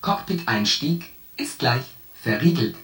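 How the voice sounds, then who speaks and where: A ship's computer, heard from a distance in heavy echo with music behind. The mid-pitched male voice is impassive and technical, delivering clipped reports in a sci-fi radio play. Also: lively intonation, a little slow, fairly bright tone. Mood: impassive, procedural. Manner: formal, clipped status reports. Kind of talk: German science fiction radio drama acting.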